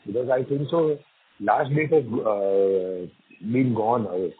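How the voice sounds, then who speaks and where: A man's voice: mid-range voice, takes it slowly, husky. A man with an Indian accent calling in as an investor, putting questions in a blunt, insistent way. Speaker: individual investor caller. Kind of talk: spontaneous questioning on a call. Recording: tinny phone line with faint background noise.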